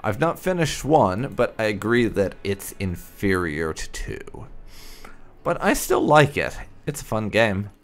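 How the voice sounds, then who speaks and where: A man's voice: on the low side, big pitch swings, a little slow. A man hosting a gaming video, commenting casually with light, sardonic roleplay.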